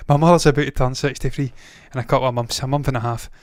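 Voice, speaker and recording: A man's voice: mid-range voice, fairly even intonation, very fast, no echo, clean studio sound. A man in his thirties hosting a movie news show, talking casually, with rambling opinionated asides. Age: 30s.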